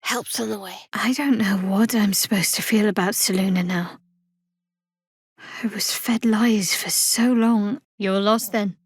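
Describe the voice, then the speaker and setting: An actress playing a guarded, sardonic young priestess of a dark goddess in a video game, with a dry, secretive manner. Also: female voice, mid-range voice, steady tone, a little slow, husky, no room sound. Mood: hushed.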